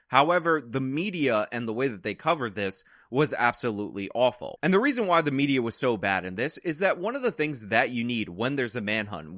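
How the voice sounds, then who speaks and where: A man's voice: medium pitch, sharp tone, no echo, clean studio sound. A man delivering opinionated news commentary in long, wordy, emphatic sentences. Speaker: political commentary YouTuber.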